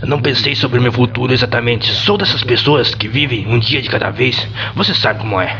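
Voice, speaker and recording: A man's voice: mid-range voice, fairly quick, sharp tone. An actor playing an easygoing, awkward young man on a date in an amateur radio soap, with a Brazilian accent. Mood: casual. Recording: dull lo-fi audio, faint music behind.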